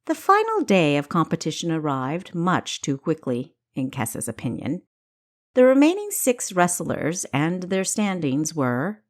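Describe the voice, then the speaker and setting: A woman narrates her own story as an audiobook. Her voice sits a little low and moves expressively up and down, and she takes her time with the scripted prose.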